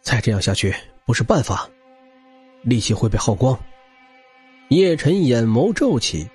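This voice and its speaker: A man's voice: medium pitch, very animated, unhurried, darkish tone, breathy edge, faint music behind. A man narrating action fiction as a scripted audiobook, with a dramatic, theatrical and intense delivery.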